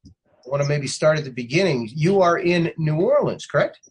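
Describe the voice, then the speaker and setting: A man hosting a casual interview, feeling his way into questions haltingly and repeating words. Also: a little high, expressive, poor audio. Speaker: interviewer, host. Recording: faint background noise.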